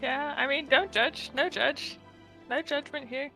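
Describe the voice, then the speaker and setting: A woman's voice: sits high, unhurried, creaky, bright, forceful tone, poor audio. A woman bantering casually and teasingly while streaming a video game. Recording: game music under the voice.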